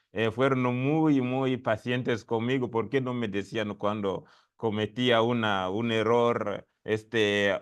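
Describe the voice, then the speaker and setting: A man from the Democratic Republic of the Congo speaks on a university history panel in Spanish, his second language. His voice is smooth and resonant at a middle pitch. He pauses, restarts and fills gaps as he searches for words. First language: not Spanish; spoke Italian for years before. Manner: hesitant, many fillers and restarts. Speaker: doctoral student panelist. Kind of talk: academic panel talk. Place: lecture room at a university event.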